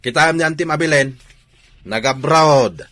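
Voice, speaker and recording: An actor with an Ilocano accent playing a needy, wheedling father in a comedy drama, his voice husky. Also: fairly high pitch, a little slow, sharp tone, a little hiss or hum. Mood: teasing, excitable. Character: father figure of the household, needy, wheedling comic relative.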